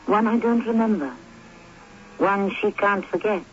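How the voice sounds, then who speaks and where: An actor as the suave host of a radio mystery, narrating in a dramatic, literary, deliberate way on an old broadcast. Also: male voice, high-pitched, fairly bright tone, dull audio.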